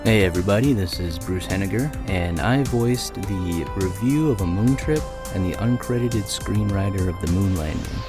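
A man with a deep, low-pitched voice talks casually as a voice actor introducing himself. Music plays clearly behind him. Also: moderate pace, hardly any pauses.

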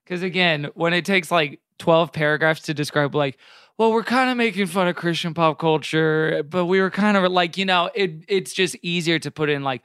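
A man's voice: on the high side, normal speed, fairly bright tone, smooth, dry recording, studio quality. A man co-hosting a podcast in casual discussion, wry and often qualifying his points as he goes. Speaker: podcast co-host.

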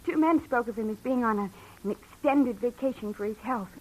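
Woman doing a scared young lady in an old radio play, kinda high voice, stammery, faint hiss. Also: fairly quick, close to an everyday voice, poor audio. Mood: nervous. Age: young adult. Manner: hesitant, stammering, breathy pleading. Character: frightened young woman in trouble, pleading. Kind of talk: 1940s radio drama acting.

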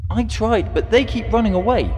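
A man's voice: high-pitched, animated, moderate pace, a little mellow. A man playing a forlorn ghost in an audio drama. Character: forlorn high-voiced male ghost. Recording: long hall reverb under music.

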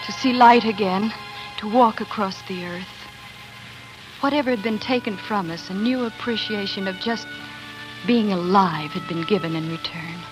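Actress as earnest young pioneer wife over music. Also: female voice, mid-range voice, natural voice, low-quality recording. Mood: reverent. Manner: earnest, dramatic storytelling. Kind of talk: 1940s radio drama narration and acting. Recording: 1940s radio recording with music.

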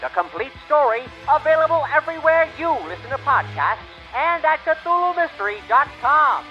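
An actress playing an announcer, reading a scripted promo for a mystery audio drama. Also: female voice, high-pitched, sing-song, moderate pace. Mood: promotional. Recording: dull lo-fi audio, faint music.

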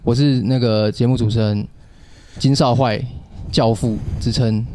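A man's voice: mid-range voice, steady tone, unhurried, darkish tone, a little hiss or hum. A man hosting a radio show in a casual, joking chat with a co-host, with a playful mock-grand manner.

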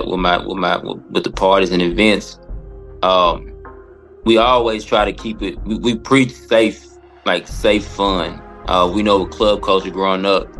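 A man speaking as a comedian guest on a podcast, answering casually and reflectively, often halting and repeating words. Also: sits low, creaky, a bit bright.

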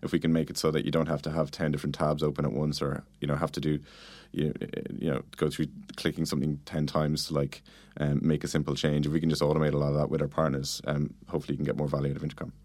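Guy with a really low voice chatting quick in an interview, super informal, dry close sound. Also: completely flat delivery, a little mellow, no room sound. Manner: informal, filler-heavy explaining with frequent "like" and "you know". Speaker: interview guest, product manager. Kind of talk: casual conversational interview answers.

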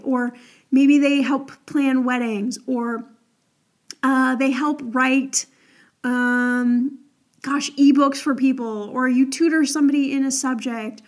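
A young woman hosting an advice podcast in a chatty, upbeat way, her voice a little crackly from the early morning. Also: high-pitched. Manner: chatty, upbeat, lots of "like" and "all right?". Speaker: podcast host.

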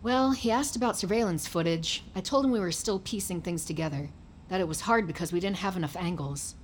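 A woman playing a brisk, technically minded government agent in an audio drama, speaking matter-of-factly. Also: on the low side, slight background noise, close to an everyday voice. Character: brisk, matter-of-fact female tech-savvy agent Rainey.

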